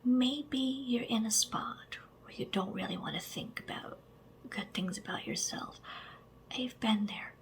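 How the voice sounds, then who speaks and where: A woman whispering slow, soft ASMR affirmations straight to the listener, with chatty asides and a gentle sense of humor. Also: fairly high pitch, rough recording. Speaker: ASMR creator.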